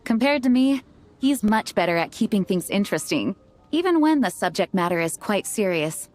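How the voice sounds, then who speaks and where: Woman acting dutiful young lady knight in anime game, proper n polite, medium pitch, music behind. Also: sing-song, darkish tone, natural voice. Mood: composed, helpful. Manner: formal, measured, polite. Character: earnest, modest, dutiful young female knight commander. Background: background music.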